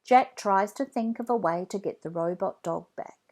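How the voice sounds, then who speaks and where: A woman reading a children's story aloud in an expressive, deliberate read-along style. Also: mid-range voice. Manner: deliberate, animated phrasing for children.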